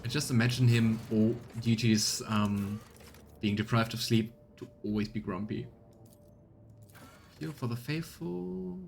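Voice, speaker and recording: A man's voice: medium pitch, a little slow, creaky, darkish tone, slight background noise. A man giving casual let's play commentary as he streams a video game. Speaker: streamer playing a video game and voicing its text.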